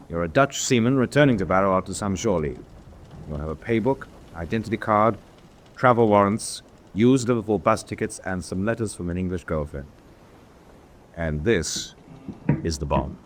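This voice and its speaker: A low, matter-of-fact officer's voice over faint background noise, acted by a man. He is methodical and instructive, a calm planner in a vintage-style war radio drama. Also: natural voice. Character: methodical, calm POW officer briefing an escaper. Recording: noisy track with background ambience.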